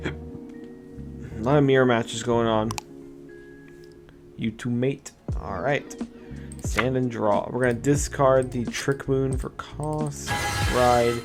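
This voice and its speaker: A young man with a slightly raspy voice, chatting casually through a card game deck test and explaining his plays. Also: medium pitch, fairly even intonation, slow pace, pauses often. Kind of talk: casual gameplay commentary. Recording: music playing underneath.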